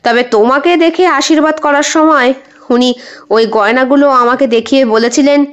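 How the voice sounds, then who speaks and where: A young husband in an audio story, played by a woman, concerned and explanatory. The voice is high and the recording a little dull. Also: moderate pace, clean tone, close to an everyday voice. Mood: explaining.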